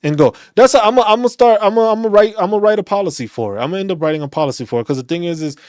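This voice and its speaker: A man, a veterans' channel host, speaking in a casual, animated rant, often restarting and repeating phrases. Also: high-pitched, very fast, no room sound, clean studio sound. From New York City. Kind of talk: casual opinion talk.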